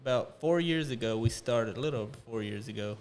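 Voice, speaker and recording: A man from Costa Rica chatting casually as an interview guest, modest and a little halting. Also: medium pitch, darkish tone. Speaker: shed company co-owner, interview guest. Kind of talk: casual chat.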